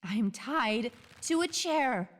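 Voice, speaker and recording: A woman's voice: on the high side, animated, takes it slowly, no echo. A woman acting a defiant, wisecracking captive fugitive in an audio drama, casual and sarcastic.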